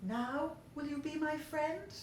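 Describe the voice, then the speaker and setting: Woman playing a magical storybook moon boy, friendly, inviting, high lilting pitch, big echo. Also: takes it slowly. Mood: friendly, eager. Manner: cheerful, gentle, inviting. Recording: very echoey room, faint noise.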